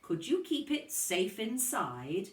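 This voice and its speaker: Storybook dog father played by a woman: tender, encouraging, secretive, slow, in an echoey room. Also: medium pitch, very animated. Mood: confiding. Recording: roomy, distant microphone.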